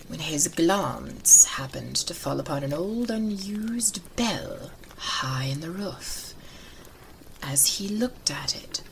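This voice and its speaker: A woman narrating a classic ghost story as a dramatic audiobook, theatrical and suspenseful, with a tendency toward hushed, breathy delivery. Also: low-pitched, slight background noise, poor audio. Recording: loud music under the voice. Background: music.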